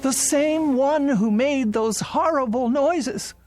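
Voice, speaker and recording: A woman's voice: high-pitched, slow, a little mellow, soft background music. An older woman playing an anxious, querulous elderly invalid in a radio drama, speaking formally and insistently. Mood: agitated, insistent.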